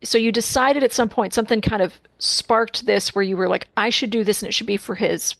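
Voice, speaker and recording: A woman with a mid-range, creaky voice works as an interviewer, recorded dry and close. Her delivery is casual and conversational, feeling its way informally toward each question. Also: medium pitch, fairly even intonation, fairly quick, fairly bright tone, no room sound.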